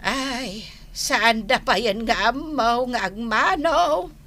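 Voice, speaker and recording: Slow, high, fussy Filipino grandmother. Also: sharp tone, heavily acted. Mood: dismayed. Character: elderly grandmother visiting daughter's family, fussy and dismayed. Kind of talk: Ilocano radio drama acting.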